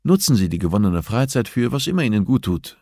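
A man voicing a composed, assertive female prison therapist in an audiobook, speaking in a measured, instructive way. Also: fairly low pitch, very animated, warm tone, no echo, clean studio sound, voice pushed up. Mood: businesslike. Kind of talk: audiobook character dialogue.

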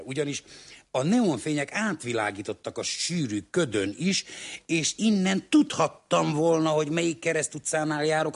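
An actor playing a mock-serious, long-suffering man complaining about his troubles in an archival radio comedy sketch. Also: a little high. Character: older male believer in socialism telling a grievance.

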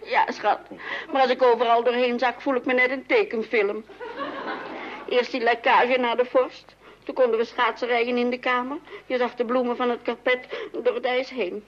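A woman's voice: fairly high pitch, flat delivery, normal speed, slight background noise, lightly acted. An actress playing a put-upon, complaining mother in an old-fashioned radio comedy, plaintive and dramatic. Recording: dull, band-limited old radio recording.

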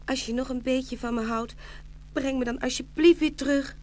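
A very high, girlish voice for a sweet porcelain shepherdess acted by a woman. She is devoted, easily frightened and pleading, in the manner of a storybook radio play. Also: fairly even intonation, moderate pace, darkish tone, close to an everyday voice. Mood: tender, pleading. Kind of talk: audio fairy-tale drama acting.

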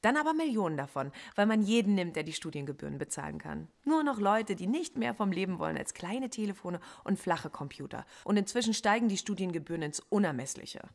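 A woman's voice: mid-range voice, big pitch swings, brisk, hardly any pauses. Defiant, ironic woman professor. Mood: indignant. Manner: ironic, argumentative, articulate. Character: defiant, intellectual female philosophy professor under interrogation. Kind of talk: German radio play acting.

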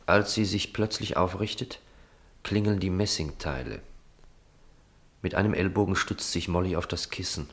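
Man, low voice, narrator, scripted literary radio-play narration. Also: normal speed, pauses often, fairly bright tone.

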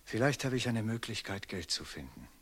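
A man's voice: fairly low pitch, big pitch swings, brisk, creaky, crowd noise. An actor in a radio drama playing a noble enslaved man, respectful, restrained and homesick, speaking formally and earnestly. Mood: earnest longing. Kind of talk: classic German radio drama acting.

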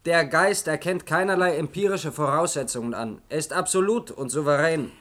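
An actor playing a young research assistant in a German radio drama, a cocksure, dogmatic rationalist who speaks pedantically and assertively. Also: male voice, a little high, normal speed, fairly bright tone, close to an everyday voice. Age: young adult. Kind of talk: German science-fiction radio drama acting.

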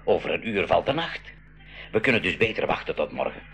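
A man's voice: low voice, animated, a little fast, a bit bright, faint music behind. An actor playing a genial, patient older uncle, an adventurous professor and inventor in a radio play, hesitating and reassuring as he talks. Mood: reasoning, genial. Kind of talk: 1980s school radio play acting. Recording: noisy old radio drama recording.

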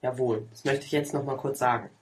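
A man's voice: medium pitch, fairly even intonation, fairly quick, a little hiss or hum. A man in his twenties in casual chat at home, chatty and self-mocking, often laughing. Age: 20s. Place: home, at a table over tea.

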